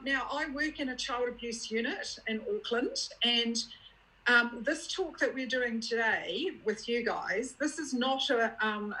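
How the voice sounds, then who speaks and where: A woman's voice: a little high, pushed and bright, low-quality recording. A woman with a New Zealand accent, originally from Scotland, giving an educational talk as a clinician, plain-spoken and checking that listeners follow. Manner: explanatory, plain-spoken, uses props and checks understanding. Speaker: guest speaker, child abuse unit clinician.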